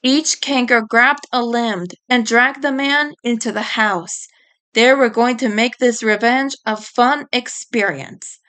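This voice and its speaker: Woman reading an audiobook story, kinda high voice, slow and dramatic. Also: a bit bright, clear, resonant tone. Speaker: audiobook reader.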